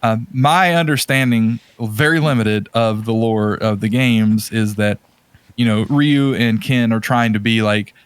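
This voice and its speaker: A man's voice: fairly low pitch, fairly even intonation, a little slow, clean tone, no echo. A man co-hosting a podcast in casual chat, rambling and often hesitating and correcting himself as he goes. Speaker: podcast co-host.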